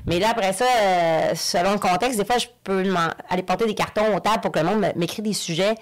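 A woman's voice: mid-range voice, fast. A woman comedian from Lac-Saint-Jean with a Quebec French accent, telling stories in a chatty way and laughing often as a podcast guest. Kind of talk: casual podcast conversation.

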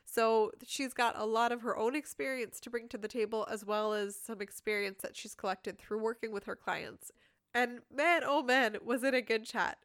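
A woman's voice: a little high, moderate pace, a bit bright, smooth, dry recording, studio quality. Giggly rambling woman podcast host. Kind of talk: casual chatty monologue.

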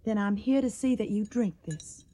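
An actress playing a devoted, anxious young daughter in a radio drama. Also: female voice, mid-range voice, moderate pace, warm tone, lightly acted. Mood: coaxing, anxious.

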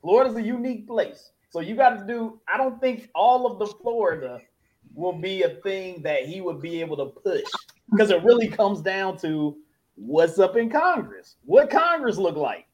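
A man in casual podcast debate, reasoning out loud and posing rhetorical questions in a conversational way. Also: high voice, expressive, moderate pace, lo-fi sound. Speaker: podcast panelist.

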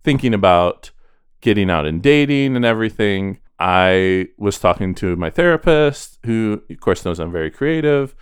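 A man's voice: lowish voice, expressive, moderate pace, clean tone, no echo, clean studio sound. A man co-hosting a podcast in casual chat, talking in a chatty, self-deprecating way. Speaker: podcast co-host.

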